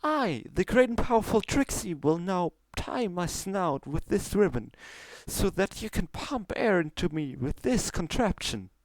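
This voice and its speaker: A man's voice: high voice, no room sound, pitched above the performer's own voice, poor audio. A man voicing a boastful female pony stage magician in a grand, theatrical storybook reading. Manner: pompous, grandiose showman delivery. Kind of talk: storybook character voice.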